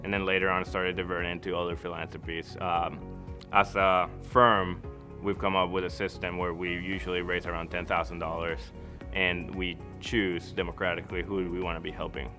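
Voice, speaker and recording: A man's voice: deep voice, level tone, normal speed. A man from Costa Rica speaking earnestly and reflectively in an interview testimonial, as an architect. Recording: music behind the voice. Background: background music.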